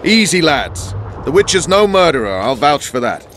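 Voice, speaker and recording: An actor playing an easygoing soldier who talks persuasively to calm others, in a video game. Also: male voice, a little high, moderate pace, slight background noise. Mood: calm, placating. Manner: placating, persuasive. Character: easygoing soldier ally, calming hotheaded guards. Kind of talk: dramatic video game dialogue.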